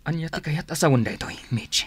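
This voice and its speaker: A Filipino actor playing a persistent, wounded young suitor in a radio drama. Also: male voice, on the high side, fast, husky. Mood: challenging. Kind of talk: Ilocano radio drama acting.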